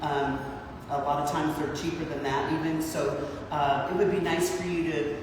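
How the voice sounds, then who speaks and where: A woman in her sixties with a low, husky voice, guiding a workout class and counting reps with encouragement. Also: flat delivery, moderate pace, background noise. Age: 60s. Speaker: fitness instructor. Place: large gym. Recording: echoey hall, mic far off.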